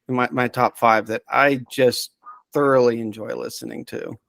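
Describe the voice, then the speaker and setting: A man in casual podcast conversation, speaking as the resident expert. He rambles and hesitates, circling back on his own sentences, in a mid-pitched voice recorded close and dry. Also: fairly even intonation, normal speed, creaky, fairly bright tone, no room sound. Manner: hesitant, rambling, self-interrupting. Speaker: podcast subject-matter expert. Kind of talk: casual chat.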